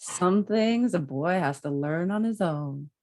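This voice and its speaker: A woman's voice: on the low side, expressive, unhurried, mellow. A woman playing an earnest, self-doubting male superhero in a conversational script table read. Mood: fond.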